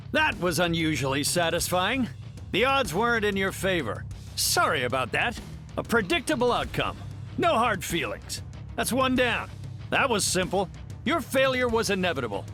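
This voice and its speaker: Brainy middle aged hero scientist for a game, formal n articulate, slightly high voice, music behind. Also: male voice, sharp tone, exaggerated voice. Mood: confident. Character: brilliant, earnest middle-aged superhero scientist, a man. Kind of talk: video game voice acting.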